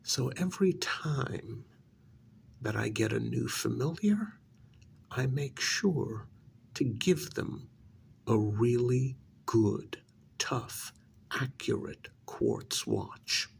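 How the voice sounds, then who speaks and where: A man playing a coldly vengeful, aristocratic vampire master in an ASMR role-play, half-whispering with deliberate, mock-polite menace. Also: mid-range voice, big pitch swings, slight background noise, exaggerated voice. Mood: smug, measured. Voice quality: breathy half-whisper with gravelly creak.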